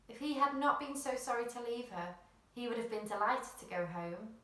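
A woman's voice: mid-range voice, fairly bright tone. A woman, reading a children's picture book expressively in a small room. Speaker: storyteller. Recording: roomy, slightly distant mic.

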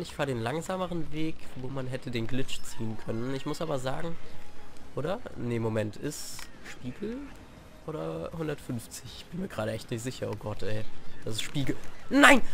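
A young man with a cold, chatting through gameplay as a let's play host, with sudden shouted outbursts, recorded on a sofa at home. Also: fairly high pitch, gravelly, background noise. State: has a cold, clears throat. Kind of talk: gameplay commentary. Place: living room at home, on a sofa. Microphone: desk mic propped on games on the sofa. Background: faint game music.

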